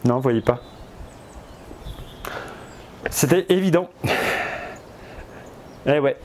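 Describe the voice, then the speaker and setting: A man talks in a mellow, darker voice, stuffy from a cold. He rambles hesitantly with many fillers and restarts, breaking off for chatty asides to his viewers. Faint noise hums under the recording. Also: mid-range voice, unhurried. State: has a cold. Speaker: vlogger. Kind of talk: rambling casual vlog. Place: outdoors in spring.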